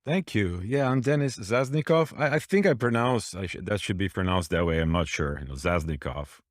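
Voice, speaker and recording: A man from Brazil with a very low voice. He talks in a relaxed, hesitant way with small restarts. The recording is dry. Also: moderate pace, no echo. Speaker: instructor.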